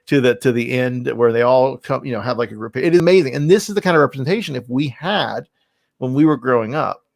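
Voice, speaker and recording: A man hosting a casual podcast interview, speaking in an effusive, emphatic, rambling way with a creaky voice. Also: medium pitch, lively intonation, fast talker, dry recording, studio quality. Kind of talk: casual, enthusiastic interview chat.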